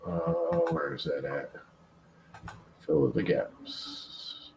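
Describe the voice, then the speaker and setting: A man's voice: very deep voice, wildly swooping pitch, drawn-out words, creaky, heavy background noise. A man streaming and chatting casually while preparing a 3D print, rambling and swearing as he tells stories.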